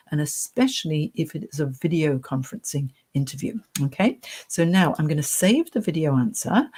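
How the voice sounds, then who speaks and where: A woman career coach with a soft voice, chatting her way through a screen-share tutorial on a computer mic. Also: low-pitched, breathy edge. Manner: chatty, reassuring, talks through clicks.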